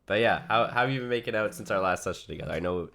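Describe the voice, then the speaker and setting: Male gaming coach, low-pitched voice worn by a cold, casual one-on-one delivery. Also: very fast, fairly bright tone, a little hiss or hum. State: sick, worn voice. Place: remote online voice call. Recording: live online voice call.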